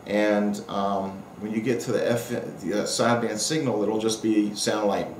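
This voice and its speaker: A man's voice: fairly low pitch, steady tone, unhurried. A middle-aged or older man giving a casual, rambling, unscripted product review, explaining things with many asides as an experienced hobbyist. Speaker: amateur radio operator reviewing a radio. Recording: roomy echo, faint background noise.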